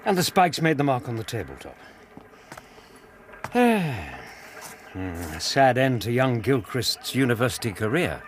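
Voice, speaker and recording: A man playing an amiable, dependable English gentleman, a detective's companion, in a radio drama, genial and measured. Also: medium pitch, very animated, a little hiss or hum. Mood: thoughtful. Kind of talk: British radio drama acting.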